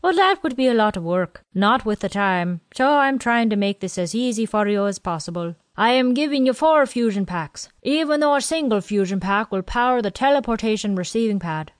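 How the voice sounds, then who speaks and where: A woman's voice: a little high, animated, moderate pace, few pauses, dry recording, studio quality, pitched above the performer's own voice, natural voice. Woman putting on a wheezy nasal old quartermaster. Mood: fussy. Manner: fussy, teasing, rambling.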